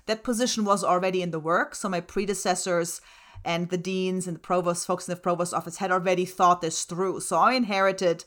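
Middle-aged woman from germany, german and slovak native, lowish voice, explains stuff earnestly like an academic guest. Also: fairly bright tone. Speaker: interview guest, writing center director and associate professor. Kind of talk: explanatory interview talk.

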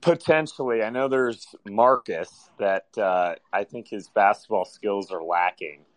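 A man with a lowish, slightly hoarse voice calls in for a casual chat. He speaks haltingly, and the sound is close and dry. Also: dry recording, poor audio. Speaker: caller to a podcast.

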